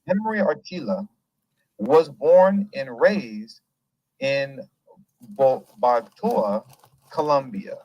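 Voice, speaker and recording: A man hosting a casual commentary show, talking in an animated, emphatic and rambling way and laughing often. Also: mid-range voice, very slow, long pauses, dry recording, rough recording. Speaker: host.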